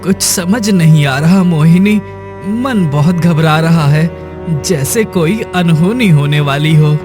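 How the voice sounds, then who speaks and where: A man acts out an honest, hardworking farmer in a cartoon moral tale. His voice sits fairly high and he speaks slowly and earnestly, with music clearly behind him. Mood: anxious. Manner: earnest, pleading when accused. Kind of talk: dramatised moral story for cartoon.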